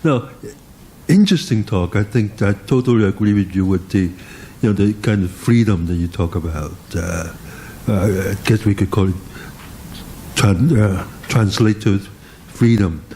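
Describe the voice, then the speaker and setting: An older man speaks up from the audience with a long question. He pauses and hunts for each word, and his voice stays warm and mellow throughout. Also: lowish voice, a little hiss or hum, low-quality recording. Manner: halting, searching for words. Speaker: audience member asking a question. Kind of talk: question from the floor.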